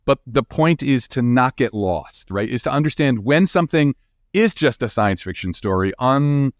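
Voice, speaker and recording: A man with a mid-range voice that swings widely in pitch, explaining ideas as an interviewed scientist. He sounds enthusiastic and emphatic, often checking in with a quick "right?". The sound is close and dry. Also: animated, dry recording. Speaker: guest scientist being interviewed. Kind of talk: animated conversational interview.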